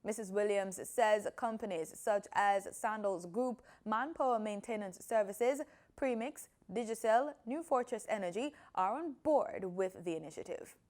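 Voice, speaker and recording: A woman's voice: medium pitch, unhurried, a little mellow. A Jamaican woman reading scripted news as an anchor.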